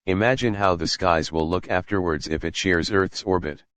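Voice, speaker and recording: A man's voice: low voice, moderate pace, natural voice. A man playing a foul-mouthed husband caught in a disaster, in an amateur audio drama. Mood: awed. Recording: very loud noise behind voice.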